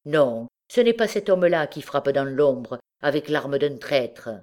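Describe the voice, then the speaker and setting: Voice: mid-range voice, expressive, measured pace, a little mellow, close to an everyday voice. A narrator playing an anguished, imploring mother. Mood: vehement disbelief. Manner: vehement, imploring, exclamatory. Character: distraught pleading mother defending her accused son.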